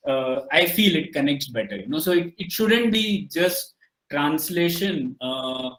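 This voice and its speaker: A man's voice: fairly high pitch, very animated, a little slow, fairly bright tone. Marathi-native man, echoey room, hesitant. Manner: thoughtful, lots of fillers and restarts. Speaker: podcast guest. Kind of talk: casual conversation.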